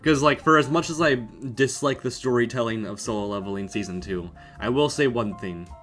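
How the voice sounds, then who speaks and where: A man's voice: mid-range voice, brisk, a bit bright, soft background music. A young man from Canada hosting a casual podcast chat about ranking anime, swearing and joking often. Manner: profane, joking, laughs often. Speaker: podcast host.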